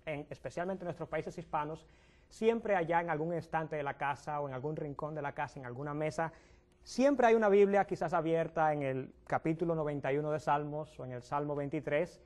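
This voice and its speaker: Young guy hosting a church youth show, kinda high voice, stumbles and restarts a lot. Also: darkish tone. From Dominican Republic. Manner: halting, restarts words, addresses viewers at home. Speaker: youth pastor and host. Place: TV studio.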